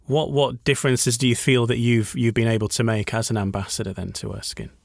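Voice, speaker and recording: A man's voice: medium pitch, little pitch movement, vocal fry, no echo, clean studio sound. A man from Scotland hosting a charity radio show, interviewing a guest with many restarts and fillers. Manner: halting, many restarts and you-knows. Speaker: radio host.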